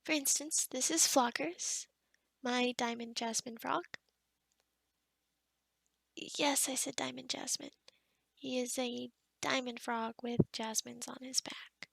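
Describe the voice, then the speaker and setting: A woman acts out a kind young witch of the forest in an ASMR roleplay. Her voice is high and breathy with some fry, recorded close and dry. She talks softly and haltingly, rambling in an awkward, gentle way. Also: steady tone, no room sound, exaggerated voice. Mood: proud. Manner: hesitant, rambling, softly spoken with whispery stretches. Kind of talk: ASMR roleplay addressed to the listener.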